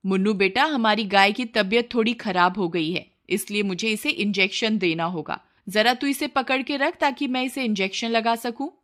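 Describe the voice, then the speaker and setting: A woman's voice: mid-range voice, fairly even intonation, moderate pace, hardly any pauses, a bit bright, natural voice. A woman voicing a greedy, sly village wife and mother in a cartoon story, with exclamatory, pleading speech. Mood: coaxing.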